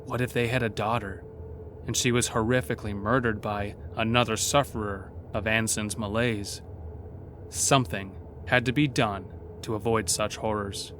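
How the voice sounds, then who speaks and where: A man narrating a scripted horror story in a measured, literary, dramatic manner. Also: lowish voice, fairly even intonation, a bit bright, slight background noise.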